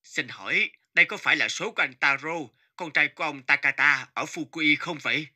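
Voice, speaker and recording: An actor playing a calm police officer in an animated story, formal and matter-of-fact. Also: male voice, fairly high pitch, brisk, a little hiss or hum. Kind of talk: animated moral story dubbing.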